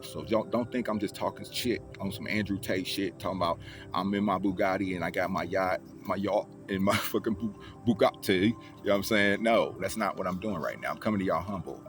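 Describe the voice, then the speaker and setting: Deep-voiced young guy rambling, swearing a lot, restarting sentences, loud music going behind. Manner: profane, rambling, with many restarts and repeats. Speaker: vlogger. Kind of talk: casual personal storytelling.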